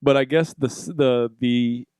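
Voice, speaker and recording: Male podcast co-host, smooth voice, dry close sound, loose and playful chat with casual swearing. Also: medium pitch, steady tone, unhurried, a little mellow, dry recording. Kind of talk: casual banter.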